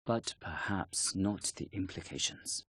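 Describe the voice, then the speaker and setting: A Chinese intelligence colonel for a game cutscene. The voice is low and dry, with little room sound. He speaks formally and deliberately, measured and guarded in every phrase. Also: a bit bright, husky, dry recording, heavily acted. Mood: grave, explaining.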